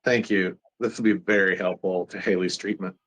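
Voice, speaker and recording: Man playing a low-voiced, formal doctor. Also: steady tone, fairly bright tone, muffled recording. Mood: polite, assured. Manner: formal, measured. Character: polite, coolly determined sceptical male doctor. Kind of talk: table read acting.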